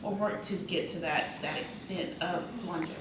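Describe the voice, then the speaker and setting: A woman's voice: lowish voice, steady tone, brisk. A woman who works as a therapist, talking informally as a panelist in a discussion. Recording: echoey, distant, noisy room pickup.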